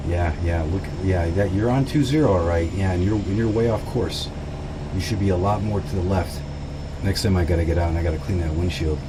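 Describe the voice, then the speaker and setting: A man's voice: low-pitched, a little fast, very noisy. A man piloting a small plane, giving informal running cockpit commentary in clipped callouts and slang, along with radio calls. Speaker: private pilot narrating own flight. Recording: loud aircraft engine and cabin drone.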